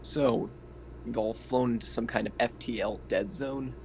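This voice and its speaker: A man's voice: mid-range voice, fairly even intonation, normal speed, a little mellow, slight background noise. A man chatting casually as a player in a tabletop roleplaying session. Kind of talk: casual tabletop game chat.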